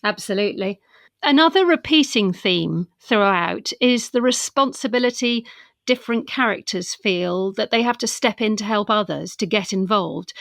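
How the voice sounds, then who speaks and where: Lady podcast interviewer, fair bit of vocal fry, dry close sound. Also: medium pitch, a bit bright, no echo. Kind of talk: interview questions and comments.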